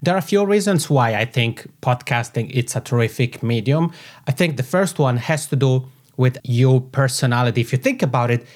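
A man's voice: mid-range voice, lively intonation, normal speed. Man with a Swiss Italian accent. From Italian-speaking Switzerland. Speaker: podcast consultant, guest. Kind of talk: conversational interview answers. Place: home office. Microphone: podcasting microphone.

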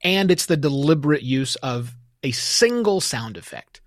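A man's voice: a little high, animated, normal speed, no echo, studio quality. A man hosting a podcast interview in a casual, conversational and enthusiastic way. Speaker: podcast host interviewing a guest.

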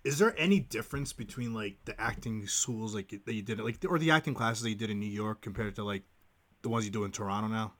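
A man hosting a podcast, asking loose, casual questions with lots of "like". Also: on the low side. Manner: loose questions full of "like", quick acknowledgements. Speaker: podcast host. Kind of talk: casual interview.

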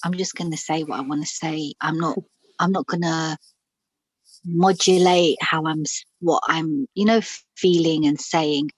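A middle-aged woman from Pakistan tells her own story as an interview guest. Her voice sits low and husky, and the recording is dry and close. She speaks frankly and reflectively, with plenty of fillers and false starts. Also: fairly even intonation, measured pace, no room sound, rough recording.

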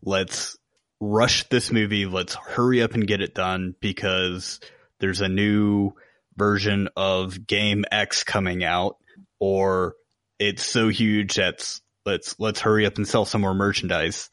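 A man's voice: lowish voice, flat delivery, slow pace, fairly bright tone, no room sound. A man co-hosting a podcast and giving his opinions in casual conversation. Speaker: podcast co-host. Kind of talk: casual chat, opinions on games.

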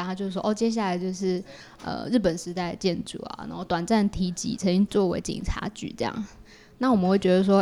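A woman's voice: medium pitch, a little mellow. A woman with a Taiwanese Mandarin accent talks as an interview guest, explaining casually and thoughtfully, often qualifying and correcting herself.

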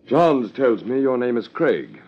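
An actor playing a stiff, evasive, easily affronted husband in a 1950s radio drama, speaking formally and hesitantly. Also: male voice, medium pitch, big pitch swings, unhurried, slight background noise. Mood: guarded, flustered. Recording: muffled old radio recording.

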